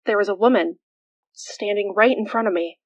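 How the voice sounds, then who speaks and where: A woman playing a casual eyewitness in a horror audio drama, talking in halting, conversational phrases. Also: mid-range voice, no echo, highs cut off, natural voice. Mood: awed, searching. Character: casual young woman witness recounting a ghostly sighting.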